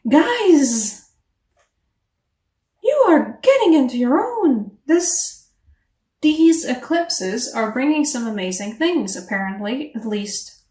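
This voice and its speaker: A woman giving a casual tarot reading to camera, chatty and animated, English not her first language, laughing at herself between rambling asides. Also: sits high, slow pace, long pauses, far from the mic. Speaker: tarot reader. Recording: echoey room, voice a bit off-mic.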